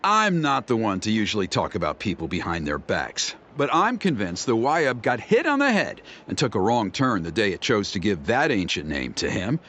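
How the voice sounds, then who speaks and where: Dramatic middle-aged dad from a tribe, gossipy and theatrical, really animated up-and-down voice. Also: male voice, lowish voice, normal speed, hardly any pauses, slight background noise. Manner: dramatic storytelling, gossipy grumbling.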